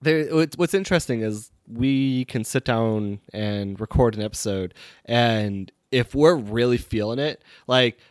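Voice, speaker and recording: A man's voice: medium pitch, unhurried, no room sound, studio quality. A man co-hosting a casual podcast, stuffy-nosed from a cold, rambling and laughing at himself. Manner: rambling, self-mocking, laughs often. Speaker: podcast co-host. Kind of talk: casual chat.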